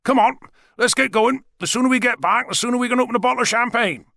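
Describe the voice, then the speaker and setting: Man acting an excitable dad, pitched high. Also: fast, gravelly, dry recording, studio quality, exaggerated voice. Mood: celebratory. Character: jolly, excitable father.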